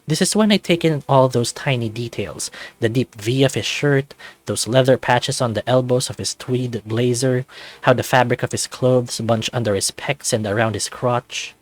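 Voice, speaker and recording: A man, a channel host, narrating a visual novel in the first person with an expressive, animated storytelling delivery. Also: mid-range voice.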